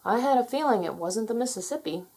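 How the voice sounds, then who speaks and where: A woman voicing a patient, wry male magic dragon guide in a children's storybook, theatrical and gently teasing. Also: fairly high pitch, expressive, fast, husky, voice pushed up. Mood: playful, grand. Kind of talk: children's storybook character read aloud.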